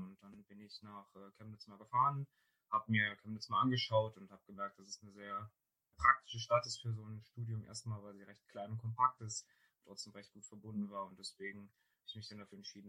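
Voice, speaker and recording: A man's voice: lowish voice, bright, forceful tone, rough recording. A man in his twenties from near Saarbrücken, a student talking hesitantly about his studies with many fillers. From near Saarbrücken, Germany. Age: 20s. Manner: hesitant, lots of ähm fillers. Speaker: student guest. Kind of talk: casual interview talk.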